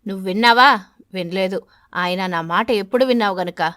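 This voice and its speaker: A woman voicing an irritable, argumentative husband pigeon in an animated story, grumbling with exasperation. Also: mid-range voice, brisk, no room sound, studio quality, voice pushed down, lightly acted. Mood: exasperated.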